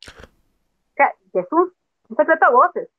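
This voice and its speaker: A woman's voice: high voice, big pitch swings, takes it slowly, dry recording. A woman giving frank, emphatic testimony as an interview guest over a video call. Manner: frank, emphatic, laughs off sensitive points. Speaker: guest, former member. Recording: dull, dry call audio.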